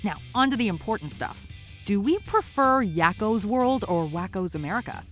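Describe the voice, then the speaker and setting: A woman's voice: mid-range voice, very animated, normal speed, soft background music. A woman reading scripted, upbeat narration as the host of a countdown list.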